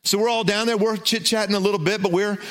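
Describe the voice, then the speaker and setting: A middle-aged man with a loud voice, preaching a sermon and telling a self-deprecating, humorous personal story. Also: sits high, steady tone, fast talker. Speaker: pastor.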